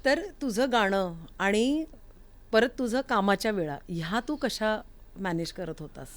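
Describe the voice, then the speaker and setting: A woman's voice: mid-range voice, a little slow. A woman hosting a conversational interview, asking questions and telling anecdotes. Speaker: interview host. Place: recording studio.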